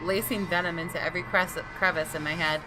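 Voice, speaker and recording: A woman's voice: lowish voice, steady tone, fast talker, rough recording. A woman gaming YouTuber reading visual novel narration aloud in a dramatic, storylike way, with game music behind her.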